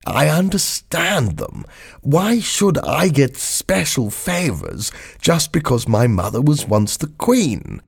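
A man's voice: on the high side, creaky, husky, voice pushed up, heavily acted. A man reading aloud, voicing a polite, mild middle-aged man in prison, earnest and plaintive.

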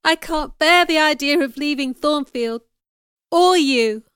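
A woman's voice: sits high, expressive, unhurried, fairly bright tone, clean tone, dry recording, clean studio sound, exaggerated voice. A woman voicing a passionate young governess in a storybook, hurt but defiant, speaking with emotional emphasis. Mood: anguished.

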